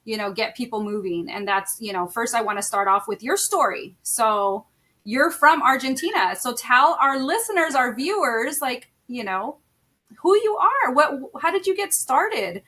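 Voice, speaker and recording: Bright, high-voiced woman podcast host. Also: expressive, moderate pace. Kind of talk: conversational interview.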